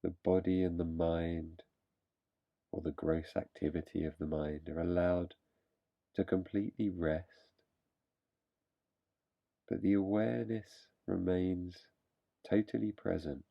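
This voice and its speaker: A man guiding a relaxation meditation in an unhurried manner, leaving long pauses between phrases. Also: low voice, fairly even intonation, creaky, dull audio. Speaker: meditation guide.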